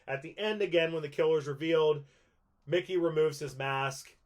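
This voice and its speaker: A man's voice: on the high side, moderate pace. Casual, rambling man in his thirties. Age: 30s. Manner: rambling, repeats points for emphasis. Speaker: video host and prop collector. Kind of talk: casual explainer to viewers.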